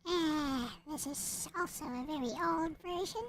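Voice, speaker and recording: Really high voiced woman, slow halting casual mumbling to herself, bit of background noise. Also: a bit bright. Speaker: visual novel creator narrating. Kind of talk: casual chat while searching computer files.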